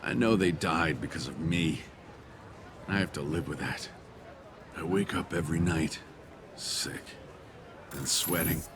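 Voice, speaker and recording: Man playing guilty, cagey turncoat on the run, video game. Lowish register, edgy, noisy surroundings. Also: animated, normal speed, close to an everyday voice. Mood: haunted, defeated. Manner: edgy, haunted, defensive. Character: guilt-ridden, evasive male traitor in hiding. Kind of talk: video game dialogue acting.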